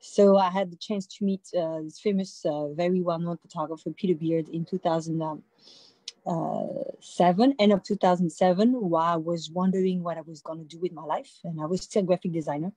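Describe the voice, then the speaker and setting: A woman's voice: fairly low pitch, fairly even intonation, brisk, dark tone, no room sound, low-quality recording. A woman in her forties from France with a French accent, telling her own story as a panel guest on a video call, loose and conversational with many fillers and restarts. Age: 40s. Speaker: panel guest, visual artist. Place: indoor room with a warm-lit backdrop. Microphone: laptop or phone mic on a video call.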